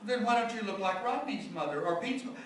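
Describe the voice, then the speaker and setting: A man's voice: high voice, animated, quick pace, pitched above the performer's own voice. A man voicing a puzzled, insistent young boy who pesters his mother with questions in a radio drama. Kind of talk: radio drama character dialogue. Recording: echoey, distant microphone.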